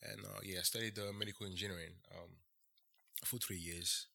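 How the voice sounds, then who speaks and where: Deep gravelly young man, French accent. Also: steady tone, pushed and bright. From France. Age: young adult. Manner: measured, pauses with frequent "uh" and "um". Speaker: guest. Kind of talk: casual interview talk.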